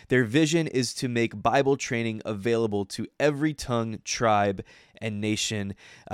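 A man's voice: medium pitch, clean tone, no room sound, clean studio sound. A man hosting an interview over a video call, upbeat and enthusiastic. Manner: enthusiastic, warm thanks, frequent fillers. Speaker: podcast host.

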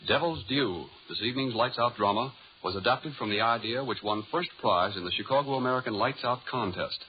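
A man's voice: mid-range voice, fairly quick, a little hiss or hum. 1930s male radio announcer, muffled sound.

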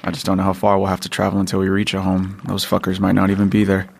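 A man's voice: low voice, monotone, quick pace, gravelly, slight background noise. An actor playing a weary, protective father in an audio drama, talking in a dry, tired and blunt way.

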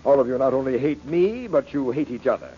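Actor as a cold, imperious rich uncle, muffled radio. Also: male voice, mid-range voice, lively intonation, brisk, mellow, close to an everyday voice. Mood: cold, sardonic. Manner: cold, sardonic, commanding. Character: rich, bitter, imperious uncle taunting his heirs. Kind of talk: 1940s radio acting. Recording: muffled, hissy old radio recording.

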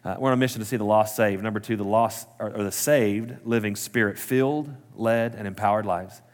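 Earnest pastor, low voice. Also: male voice, brisk, big-room sound. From Texas. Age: 30s. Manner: conversational, self-correcting, earnest. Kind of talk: sermon. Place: church sanctuary.

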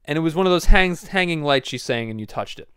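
A man's voice: a little high, expressive, quick pace, a bit bright, clear, resonant tone, no echo. A young man hosting a casual podcast, teasing and playfully questioning his grandmother. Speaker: podcast host.

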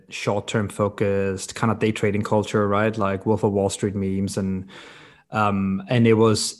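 A man in his thirties from Denmark answering interview questions as a startup founder, informal and explanatory, with soft qualifiers. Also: fairly low pitch. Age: 30s. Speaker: podcast guest, company co-founder and CEO.

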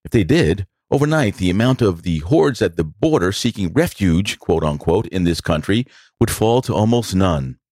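A man's voice: low-pitched, lively intonation, moderate pace, darkish tone, dry recording, clean studio sound. An older man with a New York accent, hosting a podcast and giving blunt, sarcastic opinion commentary. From New York City. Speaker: podcast host.

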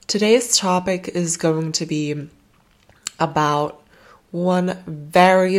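A woman hosting a self-help podcast, talking casually and affectionately with fillers, while she has a cold. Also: deep voice. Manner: gentle, affectionate, rambling with fillers. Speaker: podcast host. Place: home in Germany.

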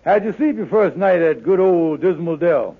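An actor playing a wheedling, fast-talking husband in a 1950s radio sitcom, with folksy, exaggerated dialect and a coaxing manner. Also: male voice, fairly high pitch, lively intonation, breathy edge. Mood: cheerful, soothing. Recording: tinny, narrow-band old radio broadcast.

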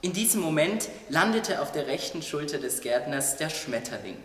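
A man's voice: on the high side, very animated, moderate pace, fairly bright tone. A man reading scripted story narration for a German radio play. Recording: distant, echoey hall.

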